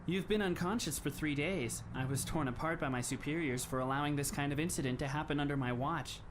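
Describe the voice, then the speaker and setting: A man in an anime fan dub playing a polite, composed teenage schoolboy who speaks in formal, measured phrases with a faintly wry touch. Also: fairly high pitch, noisy background, natural voice. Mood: calm, grave.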